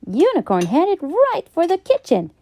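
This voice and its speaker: A woman narrating a children's story in an expressive, theatrical and emphatic storytime style. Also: very high-pitched. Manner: theatrical, emphatic, drawn-out delivery. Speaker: children's storybook read-aloud host. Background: background music.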